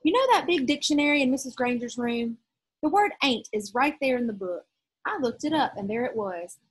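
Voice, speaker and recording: An earnest, defiant storybook schoolboy read by a woman argues his own case. The voice is husky and fairly high, self-justifying in manner. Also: expressive, a little fast. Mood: defiant, matter-of-fact.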